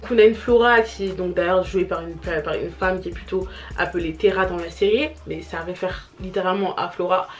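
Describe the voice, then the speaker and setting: A woman's voice: on the low side, steady tone, brisk, soft background music. Chatty young woman rambling to camera. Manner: chatty, rambling with many fillers and restarts. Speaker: vlogger reviewing series. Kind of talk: casual chat to camera. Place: home, family noises around. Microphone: camera mic.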